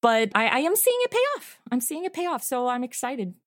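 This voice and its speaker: A woman's voice: high-pitched, very animated, fairly quick, no room sound. A woman chatting informally as a guest in a casual interview. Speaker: interview guest, audio comedy creator.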